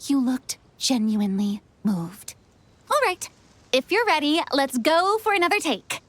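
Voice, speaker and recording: Exuberant, high-voiced young female film director. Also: unhurried, no echo. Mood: pleased, encouraging. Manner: theatrical, commanding, expressive. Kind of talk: animated game voice acting. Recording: music behind the voice.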